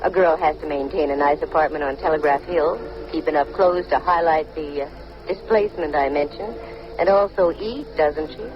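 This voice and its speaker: A woman's voice: low voice, moderate pace, natural voice. Actress as wisecracking lady private eye, muffled old radio. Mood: breezy, confiding. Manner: arch, wisecracking, worldly. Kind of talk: 1940s radio drama acting. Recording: noisy, muffled old 1940s radio recording.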